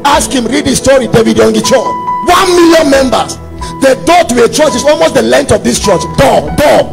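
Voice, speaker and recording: High-pitched, gravelly Nigerian preacher over music. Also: male voice, expressive, normal speed. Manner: emphatic, repeating phrases. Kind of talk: impassioned sermon. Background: background music.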